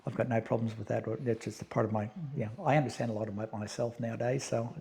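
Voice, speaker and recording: A man's voice: mid-range voice, level tone, quick pace, gravelly, dark tone. A man, a guest telling stories in a blunt, profane, casual way and laughing often. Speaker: interview guest. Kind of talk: casual conversational storytelling.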